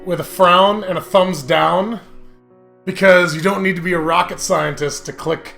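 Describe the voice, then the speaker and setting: A man hosting a casual, comedic let's play, talking in an excitable, jokey and animated way. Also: sits high, moderate pace, gravelly. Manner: laughs often while talking. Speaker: host. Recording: music behind the voice. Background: video game music.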